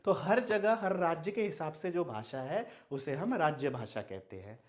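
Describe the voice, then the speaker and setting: A man's voice: on the high side, expressive, normal speed. A young man from Bihar whose first language is Maithili, teaching an online Hindi lesson. He asks questions and then answers them himself. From Madhubani, Bihar, India. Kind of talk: explanatory video lesson.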